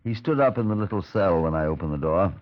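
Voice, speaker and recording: An actor playing a stern, measured police superintendent who narrates a case in a 1950s radio drama. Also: male voice, low voice, animated, heavy background noise. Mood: formal, stern. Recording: dull vintage 1950s radio recording.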